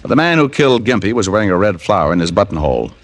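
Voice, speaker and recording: An American man acting a wry, tough private detective in an old radio drama, narrating and talking in a deadpan way. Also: on the low side, fast talker, slight background noise. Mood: matter-of-fact. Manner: wisecracking, deadpan. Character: wry, tough male private detective, cool under threat. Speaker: lead actor and narrator. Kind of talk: 1940s-50s radio detective acting and first-person narration.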